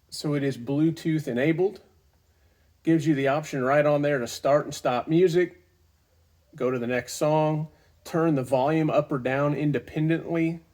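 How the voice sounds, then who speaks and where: A middle-aged man with a gravelly, fry-edged voice and a medium pitch walks through his gear. He speaks informally and plainly, like a hobbyist making a casual review vlog, with clear enthusiasm for the setup. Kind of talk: casual product walkthrough vlog.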